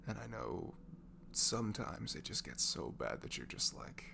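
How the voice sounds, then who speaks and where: A man softly improvising an intimate, comforting voice message to a friend, halting and casual, sometimes slipping into a murmur. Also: sing-song, background noise. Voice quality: low velvety, gravelly. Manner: gentle, halting, drifts into breathy murmurs, casual teasing.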